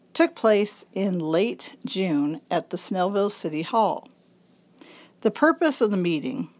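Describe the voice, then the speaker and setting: A woman reads a magazine piece aloud in a raspy voice, thickened by congestion. She takes it slowly and carefully, shaping each word clearly for listeners who cannot read the page. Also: medium pitch, a little mellow. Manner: slow, careful enunciation. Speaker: volunteer reader of a local magazine. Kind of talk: reading a magazine article aloud.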